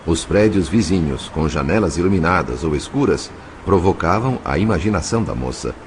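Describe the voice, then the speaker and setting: A man with a Brazilian accent narrating a novel as an audio drama, in a measured, literary storytelling style. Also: deep voice, lively intonation, slight background noise. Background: music.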